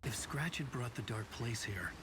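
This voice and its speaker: A man's voice: mid-range voice, expressive, moderate pace, close to an everyday voice. Man playing tormented writer, middle register, brooding, weary, scripted game narration, heavy music bed. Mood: brooding, resolute. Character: haunted, weary male writer narrating his own dread.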